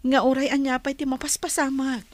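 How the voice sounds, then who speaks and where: A woman's voice: high-pitched, flat delivery, a little fast, no echo, lightly acted. A woman playing a tender, emotional young lady of a mansion in a radio drama. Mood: soft apologetic.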